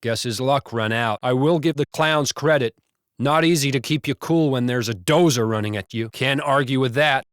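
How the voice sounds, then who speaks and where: A man's voice: mid-range voice, normal speed, fairly bright tone, no echo, close to an everyday voice. Man playing a blunt, foul-mouthed American cop, close and dry. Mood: grim, weary. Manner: casual, blunt, profane wisecracking. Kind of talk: video game character banter.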